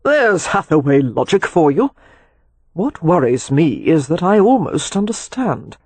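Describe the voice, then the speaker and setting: A narrator as a wry, husky, rakish gentleman. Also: medium pitch, sing-song, measured pace, dry recording, heavily acted. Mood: fond, decisive. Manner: nonchalant, teasing, dry. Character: Leo, wry, rakish, affectionate gentleman brother. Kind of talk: audiobook character dialogue.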